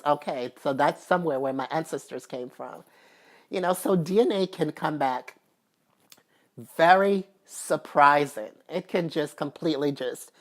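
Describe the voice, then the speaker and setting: A woman vlogger talking casually and at length, chatty and repetitive with many fillers, and emphatic when she makes a point. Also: sits low. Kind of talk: casual personal talk to camera.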